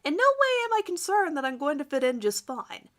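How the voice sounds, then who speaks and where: Woman playing a smug teenage charmer, lively and bright. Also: high voice, fairly quick, pitched above the performer's own voice. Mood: smug, confident. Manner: self-assured, formal wording. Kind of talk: dramatic reading of first-person fan fiction.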